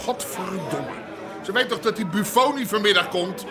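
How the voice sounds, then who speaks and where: A man's voice: high voice, expressive, normal speed, over music, crowd noise. An actor playing an agitated, impatient man in a Dutch radio drama.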